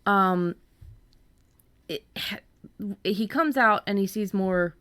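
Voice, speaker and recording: A woman's voice: mid-range voice, takes it slowly, a bit bright, clear, resonant tone, no echo. A woman co-hosting a podcast in casual chat, effusive and rambling, with informal, mildly sweary phrasing. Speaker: podcast co-host.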